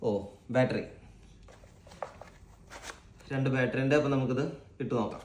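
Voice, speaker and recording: A man's voice: medium pitch, fairly even intonation, moderate pace, darkish tone. A man giving casual, unscripted commentary as a vlogger unboxing a product, thinking aloud as he goes. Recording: echoey room, faint background noise.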